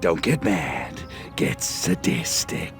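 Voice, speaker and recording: A man voicing a small, cocky, sadistic alien invader in a video game, with a gravelly, sardonic, menacing drawl. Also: rumbling low, very animated, slow, exaggerated voice. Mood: angry, sadistic. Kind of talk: video game character acting. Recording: music behind the voice.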